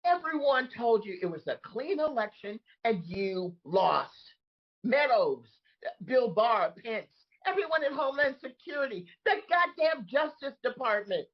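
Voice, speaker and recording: A woman acts out an aggrieved, dramatic disgraced crony in a comic table read. The voice is husky and swings widely in pitch. The audio is a little dull. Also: big pitch swings, a little slow, heavily acted. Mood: exasperated. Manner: indignant, emphatic, dramatic. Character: Rudy, aggrieved, bewildered disgraced man trapped in woman's body. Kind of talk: comedic table-read acting.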